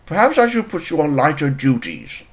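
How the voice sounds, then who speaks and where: A man's voice: on the high side, wildly swooping pitch, moderate pace. Man voicing a dry, exasperated small railway controller. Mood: dry, chiding.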